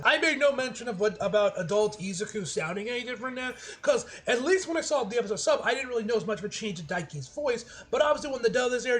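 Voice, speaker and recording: A man with a high voice talks quickly through an episode review. He sounds like an excitable fan, rambling casually in loose slang with plenty of swearing. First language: English. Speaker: anime reviewer.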